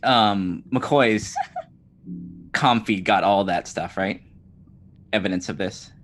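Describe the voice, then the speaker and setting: A woman's voice: extremely low pitch, slow, slight background noise. A woman playing a tabletop game, talking casually and partly in character with a dry, offhand manner.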